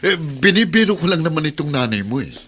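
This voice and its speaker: A Filipino actor playing a pushy, smug, menacing creditor in a radio drama. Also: male voice, on the high side, very animated, fast, fairly bright tone, a little hiss or hum. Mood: wheedling. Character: domineering, menacing creditor claiming a bride.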